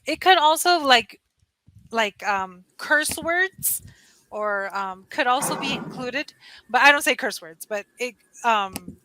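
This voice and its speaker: A woman's voice: a little high, pushed and bright, slight background noise, rough recording. A woman with a Californian accent, chatting casually on a video call as an online English tutor and explaining patiently. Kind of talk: casual conversation lesson. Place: lives in Costa Rica.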